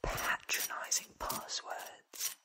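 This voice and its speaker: A man in his thirties whispering a playful ASMR roleplay as an eccentric sci-fi doctor, muttering to himself close to the microphone. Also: takes it slowly. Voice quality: breathy whisper. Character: eccentric, quirky time-travelling alien doctor. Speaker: ASMR performer.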